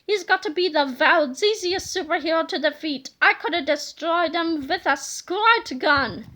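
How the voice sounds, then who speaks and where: An ornery male supervillain from a children's storybook , acted out by a woman, high and forceful. He taunts loudly and bluntly, mean to the core. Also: unhurried, exaggerated voice. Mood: gloating. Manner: loud, taunting.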